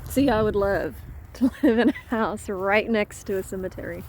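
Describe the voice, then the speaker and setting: A woman walks through a cemetery with a handheld camera, reading old headstones aloud and musing to herself in a relaxed vlog manner. Her voice sounds stuffed up and congested, with a mid-range pitch. Clear outdoor noise runs underneath. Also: moderate pace. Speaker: vlogger exploring cemeteries. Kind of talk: casual walking vlog narration.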